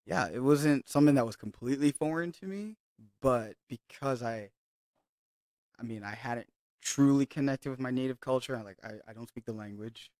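A man's voice: medium pitch, normal speed, warm tone, dry recording, clean studio sound. A young man from Hawaii, a guest telling his story reflectively in casual podcast chat, with many fillers.

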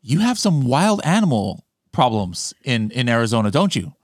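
A man's voice: mid-range voice, sing-song, moderate pace, dry recording. Male interviewer, dry close sound, stammering. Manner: stammering restarts, chatty and joking. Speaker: interviewer host. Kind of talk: casual interview.

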